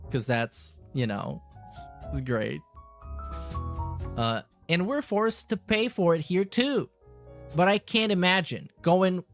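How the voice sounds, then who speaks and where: A man hosting a casual livestream, reading messages aloud with irreverent, profane and sarcastic commentary. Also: mid-range voice. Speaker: podcast host.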